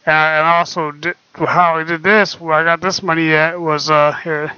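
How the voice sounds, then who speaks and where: Soft-spoken man on a lo-fi laptop mic. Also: a little high, level tone, moderate pace, clean tone, no echo. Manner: soft-spoken, hesitant, many fillers. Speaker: vlogger. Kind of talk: casual screen-recorded talk. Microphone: laptop microphone.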